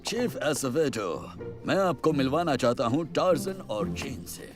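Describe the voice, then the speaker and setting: A man's voice: medium pitch, sing-song, a little slow. A man voicing a genial, playful old grandfather in a Hindi cartoon dub. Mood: warm, formal. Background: music.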